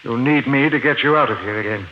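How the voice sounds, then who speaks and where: An actor playing a formal, insistent former professor turned polite kidnapper, with an American accent in 1950s radio drama style. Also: male voice, medium pitch, fast, natural voice. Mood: calm, commanding. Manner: formal, insistent, reassuring while threatening. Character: calm, doom-obsessed former professor, a polite gun-wielding kidnapper. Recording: dull, noisy 1950s radio recording.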